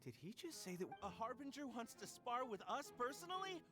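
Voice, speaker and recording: An actor voicing a nervous young soldier recruit in a video game, stammering in disbelief. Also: male voice, very high-pitched, big pitch swings, brisk, over music. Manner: stammering, incredulous. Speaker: game character.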